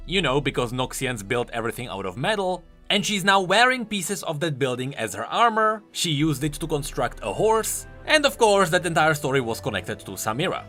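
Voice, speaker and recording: A man's voice: a little high, bright, forceful tone. A man presenting explanatory video commentary on game lore, informal and emphatic in his delivery. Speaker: YouTube game lore host.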